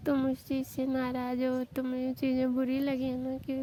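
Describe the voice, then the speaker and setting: A woman's voice: high-pitched, monotone, normal speed, lightly acted. Woman acting apologetic young new bride, noisy call. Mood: earnest, pleading. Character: newly married young wife, apologetic, coaxing, affectionate. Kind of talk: staged phone-call prank skit, casual chat. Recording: noisy phone call recording.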